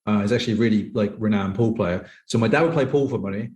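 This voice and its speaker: A man's voice: on the low side, fast, darkish tone. A thirty-year-old British man chatting casually as an interview guest, telling personal stories in a rambling way with lots of "like". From United Kingdom. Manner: rambling, peppered with "like". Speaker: podcast guest, professional poker player.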